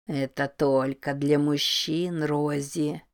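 A woman voicing the sinister, whispering voice of an ancient temple, speaking slowly with gloomy mockery. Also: low-pitched, fairly even intonation, clean tone, voice pushed down. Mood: gloating whisper. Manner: slow, mocking, gloomy whisper.

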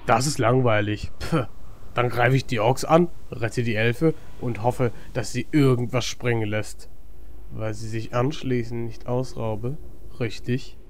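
A man acting a cheeky, clueless tabletop player in a comedy audio drama, with a flippant, deadpan manner. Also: medium pitch, a little slow, a little hiss or hum, close to an everyday voice.

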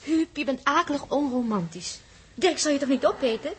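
A playful, romantic young spacewoman from a 1960s radio play. Her voice is extremely high and girlish. She teases and exclaims with lively swooping intonation. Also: a little fast, a little hiss or hum. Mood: coaxing. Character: playful, romantic young woman crew member, teasing and sympathetic. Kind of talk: 1960s radio drama acting.

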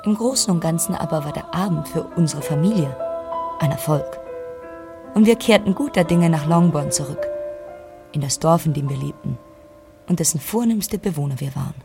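A woman's voice: deep voice, moderate pace, gravelly. Young woman narrator over music. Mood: composed, narrating. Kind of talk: scripted narration in a radio drama. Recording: music behind the voice.

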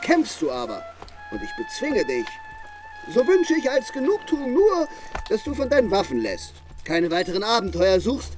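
A man's voice: high voice, huge pitch swings, normal speed, a little mellow. A man playing a haughty, boastful knight in a radio drama, issuing a challenge in formal, ceremonial, commanding speech. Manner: ceremonial, declamatory. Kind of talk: theatrical radio drama acting. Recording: music under the voice.

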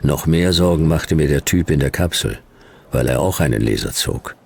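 A man playing a world-weary, sardonic private detective in a radio play, telling his story in terse, deadpan, clipped phrases. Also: very deep voice, normal speed, gravelly, husky, exaggerated voice. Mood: deadpan, tense. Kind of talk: hard-boiled radio play narration and dialogue.

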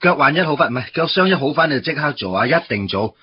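A man's voice: fairly high pitch, animated, fast, slight background noise, natural voice. An actor playing a joking young man, eager to please, in a radio drama. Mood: flustered joking. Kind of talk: Cantonese radio drama acting. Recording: dull radio drama recording over music.

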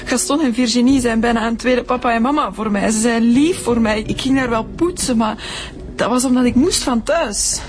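A woman's voice: on the high side, moderate pace, soft background music, lightly acted. An actress playing a frank, hard-done-by young cleaning girl with a Flemish accent in a radio drama. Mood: sad, bitter. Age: young adult.

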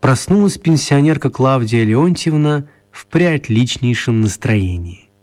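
A man narrating a children's radio play as a scripted storyteller, with measured, wry phrasing. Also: mid-range voice, lively intonation, darkish tone.

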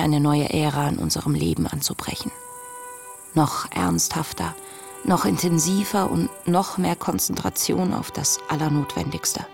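An actress playing a young woman diarist in a radio play, earnest and reflective, speaking in a measured, intimate way. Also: low-pitched, gravelly, exaggerated voice. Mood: solemn, reflective. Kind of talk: radio play, diary read as monologue. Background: music.

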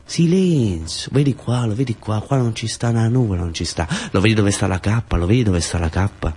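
A man voicing a gruff, bossy old fisherman grandfather with a southern Italian accent, giving curt, scolding commands in dialect. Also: on the low side, a little fast, mellow. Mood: stern.

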